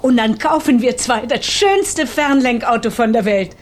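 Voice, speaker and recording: A woman's voice: on the high side, measured pace, a little hiss or hum, lightly acted. A woman playing an outspoken, indulgent female shopper in a radio drama. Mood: encouraging.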